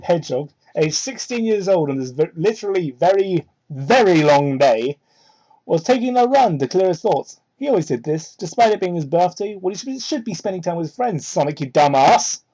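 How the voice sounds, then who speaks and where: High-voiced man on a muffled mic. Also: expressive, normal speed, mellow, breathy edge. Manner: sarcastic, incredulous asides with theatrical emphasis. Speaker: host doing a dramatic reading of fan fiction. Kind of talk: mocking read-aloud with ad-libbed commentary. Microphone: cheap microphone. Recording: muffled, low-quality cheap microphone.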